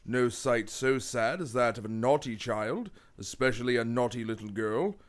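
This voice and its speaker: A man playing a stern, pompous middle-aged gentleman who preaches sanctimoniously at a child in a radio play. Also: mid-range voice, natural voice. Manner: pompous, slow deliberate preaching with mock-shocked chuckles.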